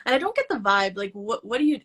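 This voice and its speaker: A woman's voice: a little high, big pitch swings, fast, a bit bright. A woman hosting an interview, asking rambling questions with many "like" fillers. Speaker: podcast host. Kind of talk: casual conversational interview.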